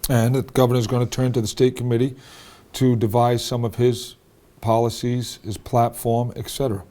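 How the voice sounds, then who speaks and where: A man hosts a local cable talk show, his sitting on a warm, dark voice. He walks his guest through topics with leading questions and keeps checking that he has the facts right. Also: mid-range voice, flat delivery, normal speed.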